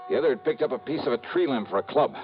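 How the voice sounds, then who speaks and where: An actor playing a wry, hardboiled American insurance investigator in a 1950s radio noir drama. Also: male voice, medium pitch, animated, very fast, lightly acted. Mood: wry, tense narration. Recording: muffled vintage radio with music.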